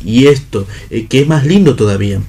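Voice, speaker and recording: A man's voice: mid-range voice, animated, a little fast, dark tone, husky. A man acting a proud, lyrical ragged vagabond in a radio play, declaiming poetically. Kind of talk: read theatre for radio.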